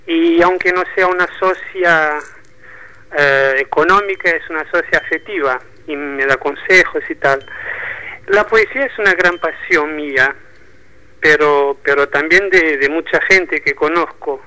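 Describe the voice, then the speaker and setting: A Portuguese man speaking Spanish as a radio guest, thoughtful and halting, often repeating words as he searches for them. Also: on the high side, sharp tone, low-quality recording. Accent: Portuguese speaking Spanish, with a touch of Argentine Spanish. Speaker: poetry bookshop owner, guest. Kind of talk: radio interview.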